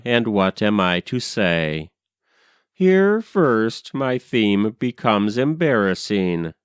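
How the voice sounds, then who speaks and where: A man reciting literary verse as a reader, with measured, deliberate phrasing and pauses between phrases. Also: medium pitch, smooth, dry recording, studio quality. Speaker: poetry reader.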